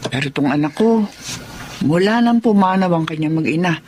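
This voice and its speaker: A woman's voice: lowish voice, expressive, moderate pace, darkish tone, a little hiss or hum, natural voice. A woman acting a worried, loving elderly mother who nags and pleads, in a Filipino radio drama. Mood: chatty, regretful.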